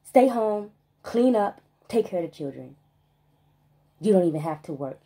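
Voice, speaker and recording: Husky-voiced woman ranting emphatically. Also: lowish voice, lively intonation, dark tone. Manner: emphatic, profane, stressing single words with long pauses. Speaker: vlogger. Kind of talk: spiritual rant monologue.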